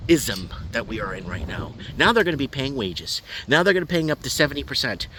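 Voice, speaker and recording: A man's voice: mid-range voice, noisy background. A middle-aged man with a Portuguese family background, ranting heatedly and repetitively to camera about politics from his backyard. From Portuguese family, raised in Canada. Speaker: online commentator. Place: backyard, outdoors.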